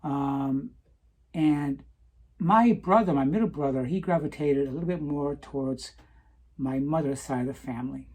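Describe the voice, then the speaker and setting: A middle-aged woman telling her own life story in a slow, hesitant way, often pausing with "um" and restarting her words. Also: low-pitched, a little mellow, slightly rough, low-quality recording. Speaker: vlogger. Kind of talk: personal confessional monologue.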